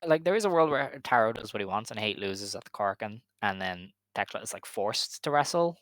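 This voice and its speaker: A man's voice: on the low side, animated, fairly quick, fairly bright tone, no room sound. A man co-hosting a casual podcast, talking things through with lots of "I think" and "um". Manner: chatty, hedging with "I think" and "um". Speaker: podcast co-host. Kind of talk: casual wrestling podcast chat.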